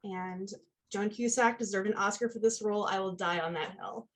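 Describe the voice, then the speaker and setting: A woman's voice: mid-range voice, moderate pace. A woman speaking casually on a video call. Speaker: participant in an online costume party. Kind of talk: casual chat.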